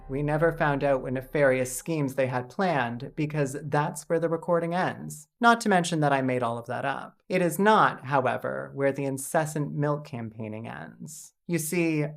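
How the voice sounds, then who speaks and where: A woman hosting a video essay with scripted comedic narration, deadpan and sarcastic. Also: very deep voice, normal speed, hardly any pauses.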